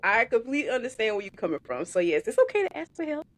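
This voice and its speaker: A woman in her thirties hosting a podcast interview, upbeat and animated in a casual, affectionate way. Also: a little high, fast, no echo. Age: 30s. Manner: upbeat, animated, calls the guest "baby". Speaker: podcast host.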